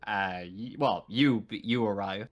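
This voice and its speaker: Low-voiced man running a tabletop game. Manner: halting, improvised narration. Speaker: game master. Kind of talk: narrating a tabletop roleplaying game.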